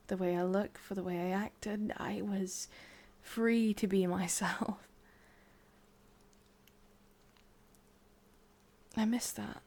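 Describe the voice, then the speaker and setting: A woman with an English accent playing a possessive, lovesick witch in a whispered ASMR roleplay, coaxing softly and intimately, close to the microphone. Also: mid-range voice. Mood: wistful, tender. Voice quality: breathy, whispery.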